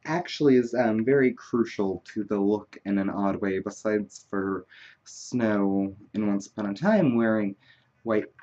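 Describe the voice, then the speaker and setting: Man, makeup tutorial host, slow wandering chatter, lowish voice, muffled lo-fi sound. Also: darkish tone. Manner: chatty, wandering, self-deprecating. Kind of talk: casual rambling tutorial chat.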